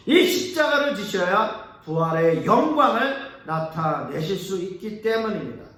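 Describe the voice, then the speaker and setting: A man preaching a sermon as pastor. He talks earnestly, rises on key words, and lets his sentences trail off soft and low. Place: church sanctuary. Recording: echoey church hall, mic at a distance.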